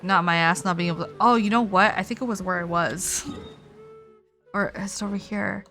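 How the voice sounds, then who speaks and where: A woman's voice: mid-range voice, steady tone, normal speed, pushed and bright. A woman livestreaming a game with casual, blunt commentary, thinking aloud and muttering to herself with the odd swear word. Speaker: livestream gamer host. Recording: game music behind the voice.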